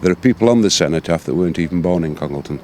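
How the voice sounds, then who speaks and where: A man's voice: deep voice, expressive, very fast, a little mellow, slight background noise. An older man with an English accent, speaking plainly as he recounts his research in an interview. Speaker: interviewee, local researcher and author. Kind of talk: interview recollection.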